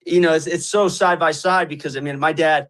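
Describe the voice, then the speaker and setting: A young man in his twenties from Colorado, talking earnestly as a guest. His voice sits a little high, and he stumbles through restarts and fillers. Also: fairly even intonation, fairly bright tone. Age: 20s. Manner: earnest, halting, many fillers. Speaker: guest, community founder. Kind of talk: casual conversation.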